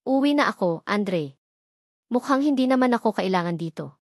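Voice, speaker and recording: A woman's voice: medium pitch, animated, normal speed, no echo, clean studio sound. A woman with a Filipino accent voicing a shy, easily hurt young woman of modest means in a narrated romance story.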